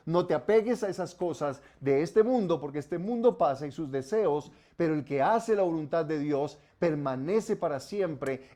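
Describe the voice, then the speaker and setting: A man from Colombia, preaching a sermon as pastor, rising on commands and dropping low and soft on solemn warnings.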